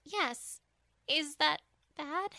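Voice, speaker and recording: A woman's voice: high voice, very slow, pushed and bright, no echo, studio quality, exaggerated voice. A woman voicing an anxious, caring young female pony who speaks earnestly and hesitantly in a cartoon-style audio story. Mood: worried.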